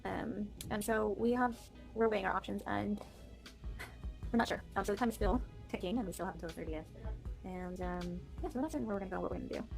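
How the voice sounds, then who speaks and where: A woman's voice: medium pitch, fast, gravelly, poor audio. A woman chatting casually and enthusiastically in a vlog, as a homeschooling mother talking to viewers and her children. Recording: music behind the voice. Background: music.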